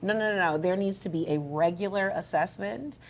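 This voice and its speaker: A woman's voice: medium pitch, normal speed. A woman making a considered argument in a panel discussion.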